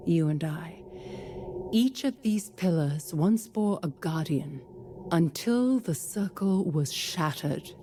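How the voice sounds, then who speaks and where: A woman voicing a solemn, cryptic ancient spectre in a video game, speaking in a formal, measured, incantatory way. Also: deep voice, expressive, mellow, slight background noise. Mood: cryptic, intrigued.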